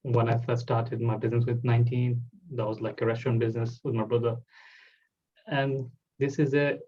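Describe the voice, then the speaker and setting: A man's voice: mid-range voice, flat delivery, a little fast, dull audio. A man, hosting a casual interview and talking loosely with many "like" fillers. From Bangladesh. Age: 20s. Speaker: host.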